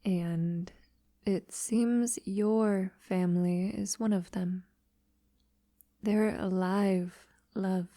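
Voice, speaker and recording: A woman playing a centuries-old, wealthy vampire lover in an intimate ASMR audio roleplay, soft-spoken and half-whispering with hesitant pauses. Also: medium pitch, fairly even intonation, no echo, studio quality. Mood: remorseful, gentle.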